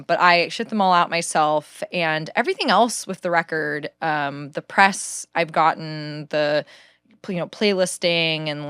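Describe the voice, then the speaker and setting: Woman, low alto voice, casual and chatty, lots of "like", laughs easily, dry recording. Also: fairly bright tone, clean tone, dry recording. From California. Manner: chatty, many "like"s and frequent laughs. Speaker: singer-songwriter guest. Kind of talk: casual interview chat.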